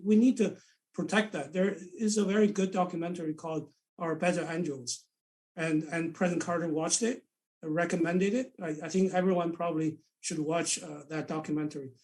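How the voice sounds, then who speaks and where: Man, Chinese accent, non-native English, earnest panel guest, repeats words, a bit high-pitched, heard from a distance. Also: steady tone, moderate pace, a little mellow. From China. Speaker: senior advisor, panel guest. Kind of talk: conversational panel discussion. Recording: voice set back from the mic.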